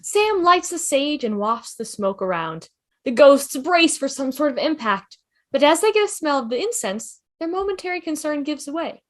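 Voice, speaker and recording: Female narrator, high register, swooping delivery, reading script directions on a video call. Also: sing-song, moderate pace, a bit bright. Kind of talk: scripted narration at a table read. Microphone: video call microphone.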